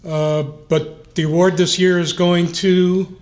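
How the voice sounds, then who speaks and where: A man's voice: high-pitched, level tone, slow, a little mellow. A man announcing an award winner at a gala, hesitant as he looks for the recipient, heard from off the microphone. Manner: hesitant, searching the crowd. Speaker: award presenter.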